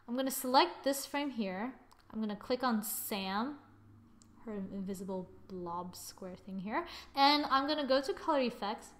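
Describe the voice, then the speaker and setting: Female tutorial presenter, slightly high voice, informal step-by-step delivery, thinking aloud. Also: a bit bright. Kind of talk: casual software tutorial narration.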